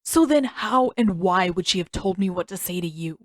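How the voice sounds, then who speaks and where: A woman's voice: fairly low pitch, animated, normal speed, no room sound, studio quality. A woman voicing a tired, sullen teenage prince in an audiobook story, terse, defensive and sarcastic. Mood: irritated.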